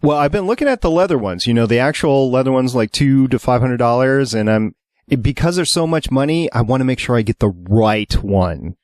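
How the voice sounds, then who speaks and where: A man's voice: medium pitch, expressive, fairly quick, darkish tone, dry recording. A man hosting a fan podcast, chatting casually in an easygoing, agreeable way as he moves the show along. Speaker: podcast host. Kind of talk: casual chat, moderating segments.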